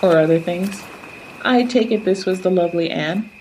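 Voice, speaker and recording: A woman's voice: fairly low pitch, normal speed, a little mellow, a little hiss or hum, lightly acted, rough recording. A woman playing a dry, teasing travel companion in audio drama dialogue.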